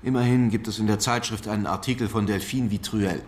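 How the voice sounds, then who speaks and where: An actor playing a pensive, stubborn police commissioner in a radio crime drama, speaking tersely and with quiet insistence. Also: male voice, lowish voice, steady tone, brisk, slight background noise, lightly acted. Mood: doubtful, pensive.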